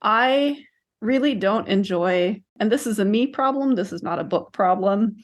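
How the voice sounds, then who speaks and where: A woman's voice: mid-range voice, lively intonation, a little slow. Woman debating books in casual chat. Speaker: podcast co-host. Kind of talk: casual book discussion.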